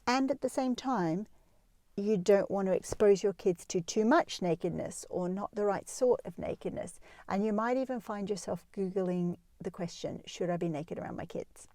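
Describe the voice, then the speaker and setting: Female advice host, relaxed mellow tone in a dry space, talking listeners through things reassuringly. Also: medium pitch, normal speed, dry recording. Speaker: host of a parenting advice show. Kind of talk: explanatory advice talk addressed to listeners.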